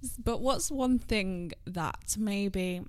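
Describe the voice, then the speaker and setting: A woman's voice: mid-range voice, no room sound. A woman hosting a podcast, asking questions in a chatty, curious, casual way. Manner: chatty, curious, quick to react with laughs and "ooh". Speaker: podcast host. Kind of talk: casual interview chat.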